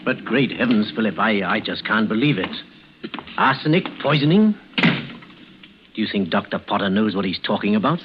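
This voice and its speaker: Casting: man to play practical, slightly impatient husband, very lively inflection, 1940s radio play, muffled old recording. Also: lowish voice, normal speed, long pauses, noisy background, close to an everyday voice. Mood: alarmed, disbelieving.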